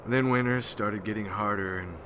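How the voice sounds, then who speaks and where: Man playing low-voiced, haunted old-time vampire hunter. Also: background noise, close to an everyday voice. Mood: reminiscing. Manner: slow, halting, somber folksy storytelling. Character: weary, haunted rural American Civil War veteran turned vampire hunter. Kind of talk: ASMR roleplay monologue.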